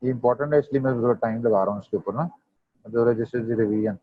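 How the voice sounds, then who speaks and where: A man leads a medical revision class. He fires questions at his students and slips between Hindi and English terms. His voice sits at an ordinary pitch and comes through a dry, muffled, lo-fi microphone. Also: a little fast, no room sound. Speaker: medical lecturer. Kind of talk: online revision lecture. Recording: dull dry lo-fi audio.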